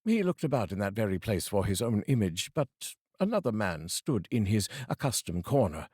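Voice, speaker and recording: A man reading a classic story aloud as narrator, dramatic and expressive. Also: lowish voice, husky, no echo, clean studio sound.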